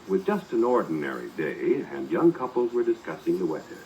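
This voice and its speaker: A man's voice: deep voice, wildly swooping pitch, fairly quick, a little mellow, slight background noise. A man announcing and narrating a 1940s radio show from a script with showy, emphatic delivery. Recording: muffled old radio recording with music.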